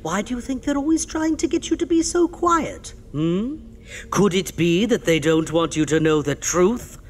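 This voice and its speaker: A man's voice: high voice, sing-song, moderate pace. A man voicing a sly, ghoulish horror host who teases his listeners in a mock-sinister way. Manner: mock-sinister, teasing, chuckling.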